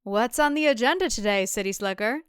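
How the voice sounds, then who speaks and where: A woman's voice: a little high, animated, a little fast, smooth, no room sound, clean studio sound, lightly acted. A woman reading a boastful, pushy farm husband. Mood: teasing. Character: smug, overly familiar male farm husband.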